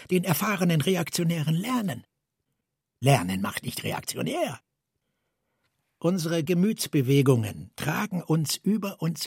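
An earnest East German party functionary in a satirical radio play. The voice is high and very husky, swinging widely in pitch as it declaims with emphasis, recorded dry and close. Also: male voice, no room sound, exaggerated voice. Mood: fervent. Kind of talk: literary radio play, scripted quotation.

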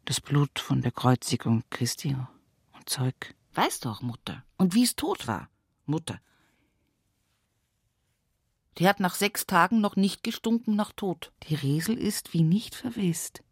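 A slow, low-voiced Bavarian country daughter. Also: female voice, lively intonation, slightly rough, no echo, studio quality, exaggerated voice. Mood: hushed reminiscing. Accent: Bavarian, Upper Palatinate. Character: earthy rural daughter reminiscing at her mother's sickbed. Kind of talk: radio play monologue.

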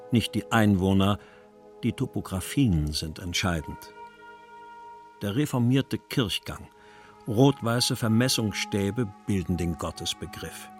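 A man reciting literary texts from a script. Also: medium pitch. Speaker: reader of poems and letters.

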